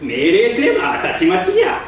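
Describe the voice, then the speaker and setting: A man's voice: very high voice, wildly swooping pitch, a little fast, heavily acted. An actor playing a pompous, imperious old king in a theatrical staged reading, using archaic old-man speech. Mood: lofty, reasoning. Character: pompous old king ruling a tiny asteroid. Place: public hall stage, distant microphone. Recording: echoey hall from far off, faint noise.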